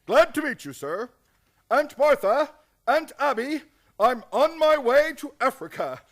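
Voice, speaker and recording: A man's voice: very high voice, very animated, unhurried, a bit bright, full character voice. A man acting a cheerful, deluded fellow who believes he is the president, hearty and grand, in a radio play comedy. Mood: joyful.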